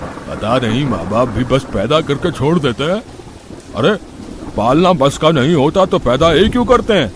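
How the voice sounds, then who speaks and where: A man's voice: mid-range voice, animated, moderate pace, noisy background, natural voice. A man voicing a grumbling, disapproving tea-stall customer in a cartoon moral story.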